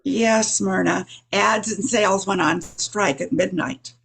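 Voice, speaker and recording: A woman playing a bossy, demanding office boss with a raspy, imperious delivery in a radio comedy. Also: mid-range voice, takes it slowly, a bit bright, heavily acted. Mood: commanding. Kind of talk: old-time radio comedy acting.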